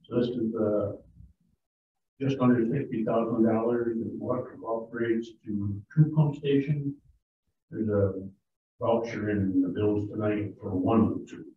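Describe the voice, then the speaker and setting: A man chairing a town board meeting, briskly reading resolutions and dollar figures in a matter-of-fact way. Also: lowish voice, steady tone. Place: large town hall meeting room. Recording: distant echoey hall audio, thin phone-like sound, faint hiss.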